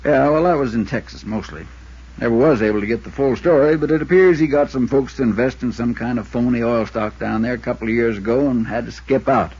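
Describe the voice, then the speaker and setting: A man playing a folksy, talkative Arizona insurance man with a drawl and a husky voice, in 1950s radio drama style. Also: mid-range voice, brisk, a little mellow. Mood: confiding. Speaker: supporting actor. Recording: dull 1950s radio recording.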